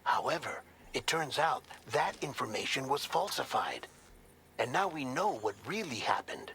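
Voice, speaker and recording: An actor playing an earnest investigator in a video game cutscene, briefing a colleague in a deliberate, explanatory way. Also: male voice, medium pitch, sing-song, bright, forceful tone, a little hiss or hum. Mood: earnest, confiding.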